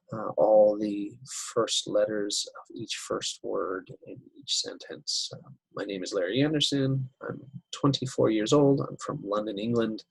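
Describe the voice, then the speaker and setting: A man teaching an English writing lesson over slides, unhurried and step by step, with many fillers. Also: fairly low pitch, creaky, fairly bright tone, husky, no echo, poor audio.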